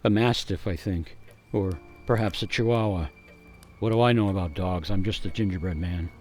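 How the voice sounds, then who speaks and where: A man playing a grave gingerbread man, narrating a radio play as a haunted survivor with theatrical suspense and weighty pauses. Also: sits low, a little mellow, faint music behind, natural voice. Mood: grim, rueful. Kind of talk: dramatic first-person storytelling for a radio play.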